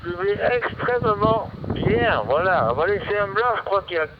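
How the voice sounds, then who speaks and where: A man's voice: sits high, sing-song, moderate pace, noisy background. Rambling man over a dull radio link. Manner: rambling, repeats himself. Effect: voice heard over radio. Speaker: amateur radio operator. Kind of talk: casual radio contact chat.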